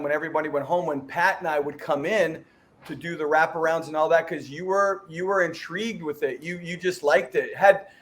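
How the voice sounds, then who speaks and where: High-voiced chatty male podcast host. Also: slight background noise. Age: middle-aged. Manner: chatty, rambling, jokey. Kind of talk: casual interview chat.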